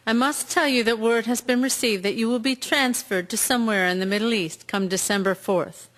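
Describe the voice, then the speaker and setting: An actress playing a formal, authoritative military hospital matron in a radio drama. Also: female voice, on the high side, fairly bright tone, close to an everyday voice.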